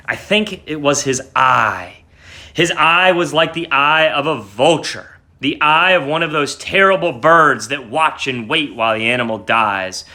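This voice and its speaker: A man playing an agitated madman who insists he is sane, in an intense, theatrical dramatic reading of a gothic story. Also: medium pitch. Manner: intense, theatrical, with sudden hushes and swells. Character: agitated madman insisting on his sanity, confessing a murder.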